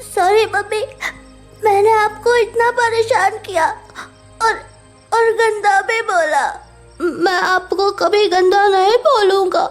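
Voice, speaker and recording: A performer voicing an apologetic young boy in an audio horror drama, pleading haltingly like a child and devoted to his mother. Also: extremely high pitch, steady tone, soft background music. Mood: tearful, apologetic.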